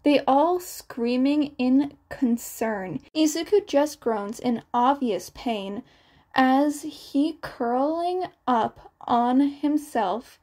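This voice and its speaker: A woman's voice: sits high, lively intonation, extremely slow, darkish tone. A woman reading scripted fan fiction as the narrator of a podfic.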